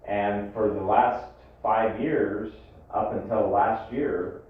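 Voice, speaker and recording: A thin, phone-like recording with strong room echo and faint noise. A man on the deeper side explains finances to a board, informally and with measured pauses. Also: fairly even intonation. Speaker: health district staff presenting finances. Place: meeting room, distant microphone. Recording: tinny echoey room from far off, faint noise.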